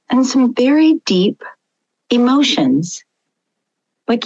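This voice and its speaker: A woman's voice: on the high side, darkish tone. Woman host on dull lo-fi audio. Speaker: interview host. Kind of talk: podcast interview.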